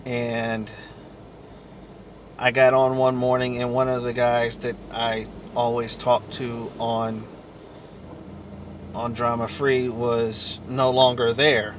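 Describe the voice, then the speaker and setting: Male vlogger, unhurried and halting, telling a rambling personal story; faint vehicle-like noise behind. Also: medium pitch, flat delivery. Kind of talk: rambling personal account to camera or mic.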